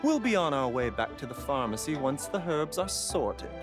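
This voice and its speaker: Lilting, very animated genteel doctor. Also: male voice, on the high side, normal speed, over music. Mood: pleased, courteous. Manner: polite, lilting, theatrical. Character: genteel, courteous young male herbalist doctor. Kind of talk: video game voice acting.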